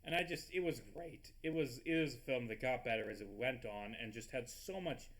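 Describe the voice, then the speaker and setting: A man with a stuffy nose rambling excitably in casual commentary over a game recording, swearing often and tripping over his words. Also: mid-range voice, fairly quick. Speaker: gaming video host.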